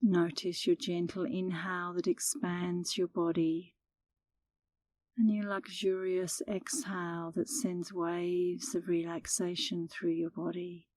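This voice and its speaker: Female meditation guide, slightly low register, soothing and unhurried, very dry intimate mic. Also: flat delivery, darkish tone, no echo. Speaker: meditation instructor.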